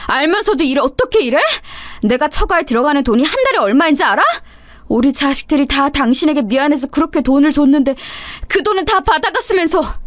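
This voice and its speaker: A woman's voice: high voice, lively intonation, fast, no echo, pitched above the performer's own voice. A woman voicing an outraged middle-aged eldest son who scolds harshly and furiously.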